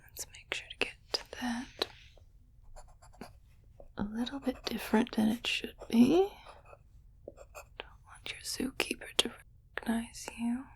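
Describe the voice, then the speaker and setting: A woman voicing a condescending female alien in an ASMR roleplay, fascinated and disgusted by humans, in a breathy, deliberate, haughty whisper. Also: on the high side, steady tone. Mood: haughty, critical.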